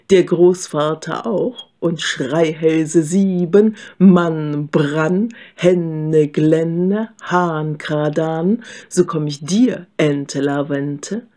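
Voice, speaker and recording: A woman's voice: deep voice, mellow. A woman voicing a cheeky runaway pancake in a fairy tale, boastful and chanting.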